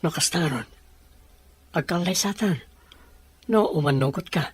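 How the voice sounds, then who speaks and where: A man's voice: a little high, normal speed, slightly rough, no echo. A man playing a respectful young groom-to-be in an audio drama, with an Ilocano accent. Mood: reassuring. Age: young adult.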